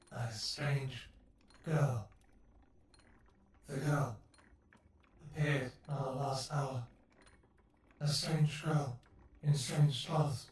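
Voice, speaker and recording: A man voicing a stricken, soul-powered construct in a fantasy audio drama, speaking in broken, halting fragments through a heavy echo effect. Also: medium pitch, a little mellow, a little hiss or hum. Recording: distant, heavily echoing effected voice.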